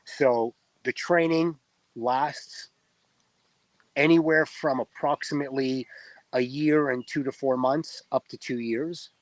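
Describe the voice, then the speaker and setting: A man's voice: medium pitch, steady tone, slow, no echo, low-quality recording. A man in his forties with an Israeli accent, a tired reserve soldier giving earnest, explanatory interview answers full of ums and uhs. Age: 40s.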